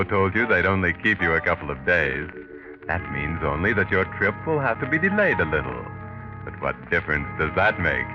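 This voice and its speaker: Deep, ominous radio narrator. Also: male voice. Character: ominous, taunting omniscient narrator addressing the killer. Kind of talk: 1940s radio mystery narration. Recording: muffled 1940s radio recording.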